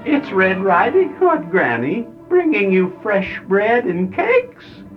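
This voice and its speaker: A woman's voice: mid-range voice, wildly swooping pitch, slow, faint music behind. A woman reading a storybook aloud, voicing a wicked, cunning male wolf who makes his voice falsely sweet. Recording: dull lo-fi storybook recording.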